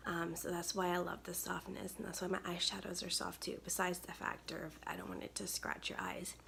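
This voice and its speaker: A woman in her thirties with a soft, weak, breathy voice, chatting casually through a makeup tutorial at home to a close webcam. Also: fairly low pitch, flat delivery, fairly quick, a little hiss or hum. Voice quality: gravelly, breathy. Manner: soft-spoken, chatty, many ums. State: weak, tired voice. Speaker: makeup brand owner. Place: home studio, indoors.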